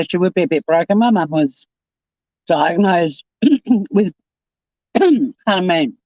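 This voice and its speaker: A man's voice: fairly high pitch, darkish tone, no echo, highs cut off. Chatty man in his fifties. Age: 50s. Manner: chatty, reads viewer comments aloud and answers them. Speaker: live stream host. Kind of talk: casual live stream chat. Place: home.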